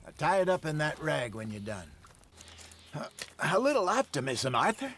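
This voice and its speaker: An actor playing a hearty, good-humored older American hunter with a folksy manner, in a game cutscene. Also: male voice, on the high side, huge pitch swings, normal speed, a bit bright, a little hiss or hum, exaggerated voice. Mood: instructive. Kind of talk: naturalistic game cutscene acting.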